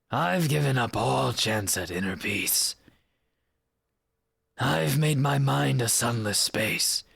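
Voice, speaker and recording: A man playing a weary, haunted rebel in a solemn, hushed dramatic monologue. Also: fairly low pitch, creaky, husky, no echo, studio quality, heavily acted. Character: weary, haunted rebel spymaster confessing his sacrifices.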